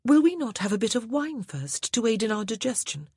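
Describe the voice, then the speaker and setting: A woman doing a lively young noblewoman. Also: a little high, fairly quick, breathy edge, no echo, clean studio sound, voice pushed up, heavily acted. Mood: coaxing. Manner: sweetly insistent, playful. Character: lively young noblewoman wife, bright and coaxing.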